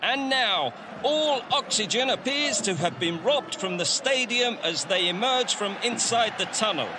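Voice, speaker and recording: Guy doing a grandiose sports commentator, high voice, audio story, noisy outdoor background. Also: animated, a little slow. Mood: dramatic. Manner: dramatic, florid. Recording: noisy outdoor ambience behind voice.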